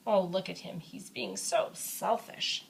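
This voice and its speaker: A woman's voice: on the low side, measured pace, gravelly, rough recording. A woman reading a children's storybook aloud, with dramatic emphasis and chatty asides addressed to a young listener. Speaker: storybook reader.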